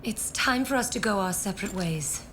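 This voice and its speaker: An actress playing a grave widowed survivor in a video game, speaking in a measured, solemn way. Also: female voice, mid-range voice, animated, fairly bright tone, slight background noise, close to an everyday voice. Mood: serious, measured. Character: grave widowed female survivor who has outlived her years. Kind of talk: English-dubbed video game cutscene acting.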